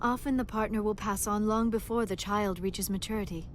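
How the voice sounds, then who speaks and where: A woman playing a gentle, scholarly female alien in a video game, speaking in formal, measured and precise phrases. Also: medium pitch, fairly even intonation, slight background noise, lightly acted. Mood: wistful, reflective. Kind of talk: video game dialogue acting.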